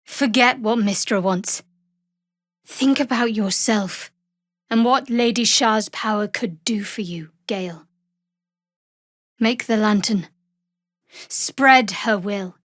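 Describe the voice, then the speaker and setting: A woman playing a guarded, sardonic young female cleric of a dark goddess in a fantasy video game, with a cool, wry and measured delivery. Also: mid-range voice, no echo. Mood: devout, solemn. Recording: dry, close studio voice.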